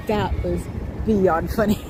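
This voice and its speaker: A woman with a high voice chatting casually to her viewers, wry and quick to laugh. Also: very noisy. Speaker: livestream host. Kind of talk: casual chat reacting to viewer comments.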